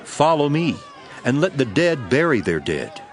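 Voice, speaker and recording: A man acting a calm, authoritative holy teacher in a dramatized scripture reading, speaking in a measured, solemn and commanding way. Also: medium pitch, some chatter behind. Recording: music behind the voice.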